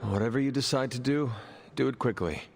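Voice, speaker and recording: An actor playing a grave, measured leader who gives formal, caring counsel in a video game cutscene. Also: male voice, medium pitch, faint music behind, natural voice. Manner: formal, avoids contractions.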